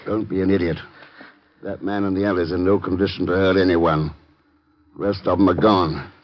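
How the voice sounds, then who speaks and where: An actor playing a shrewd, cool intelligence agent in an old radio drama, clipped and matter-of-fact. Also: male voice, sits low, steady tone, moderate pace, natural voice. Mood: brisk, commanding. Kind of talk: 1950s radio drama acting. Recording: muffled 1950s radio recording.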